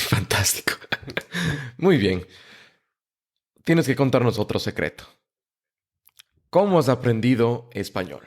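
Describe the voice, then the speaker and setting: A man's voice: medium pitch, sing-song, slow, long pauses. A man hosting a podcast, asking casual interview questions and reworking them mid-sentence. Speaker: podcast host.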